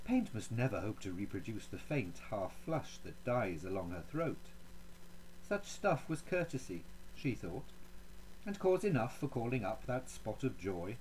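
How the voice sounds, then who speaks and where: A man reciting dramatic poetry as a proud, jealous, coldly menacing Renaissance duke, with haughty, courtly phrasing and pointed pauses. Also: medium pitch, darkish tone, slight background noise, low-quality recording. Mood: courtly, possessive.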